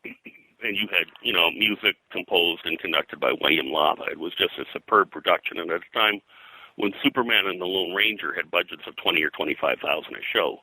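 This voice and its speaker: An older man talking as an interview guest, explaining things in detail with a halting, conversational delivery. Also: deep voice, bright, forceful tone, dry recording, low-quality recording. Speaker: interview guest, pop culture historian. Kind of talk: conversational interview, recollection and commentary.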